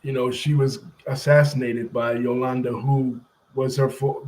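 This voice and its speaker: A man's voice: medium pitch, rough recording. A man hosting a commentary channel who walks through history step by step in a casual, conversational way and now and then chats with people off camera.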